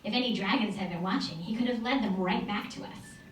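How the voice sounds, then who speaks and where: A woman voicing a tired, gentle woman schoolteacher who explains in a measured, careful way in a storybook reading. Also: medium pitch, lightly acted. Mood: gently evasive. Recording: echoey room, distant mic, hissy noise.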